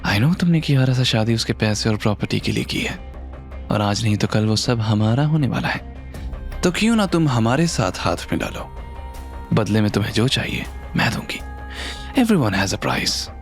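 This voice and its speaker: A man's voice: on the low side, expressive, moderate pace, soft background music. A man voicing a confident, scheming businesswoman in an audio drama, commanding and challenging, mixing English into the talk. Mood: smooth, persuasive. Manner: challenging, code-switches into English.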